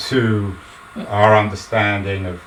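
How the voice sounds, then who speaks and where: A man's voice: on the low side, level tone, takes it slowly, fairly bright tone. A man hosting an interview podcast, asking probing, emphatic questions. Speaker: podcast host. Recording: echoey room, distant mic, faint noise.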